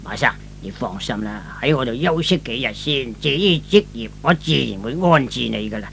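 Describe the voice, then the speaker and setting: A man's voice: mid-range voice, expressive, moderate pace, vocal fry, fairly bright tone, pitched below the performer's own voice. A man playing a kindly, grateful older father, a well-off merchant, courteous and theatrical in a radio drama. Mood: hospitable, reassuring. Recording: faintly noisy old radio recording.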